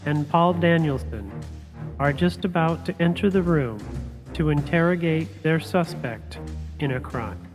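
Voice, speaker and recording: A man giving measured, scripted narration to open a radio drama. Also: fairly high pitch, over music.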